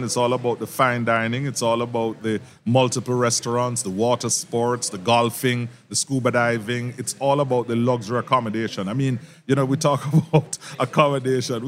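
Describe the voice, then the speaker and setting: A man's voice: mid-range voice, steady tone, a little fast, few pauses. A man with a Jamaican accent, a resort sales executive, talking casually in an interview outdoors by a pool, jovial and laughing often, sometimes repeating words.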